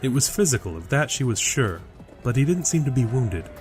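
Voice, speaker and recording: A man's voice: mid-range voice, sing-song, brisk. A man reading scripted narration for an audio drama. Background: loud music.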